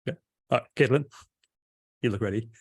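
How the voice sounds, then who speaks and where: A man's voice: mid-range voice, moderate pace, darkish tone, dry recording, studio quality. A man casually facilitating a group call, talking in an easygoing, informal way. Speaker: session facilitator.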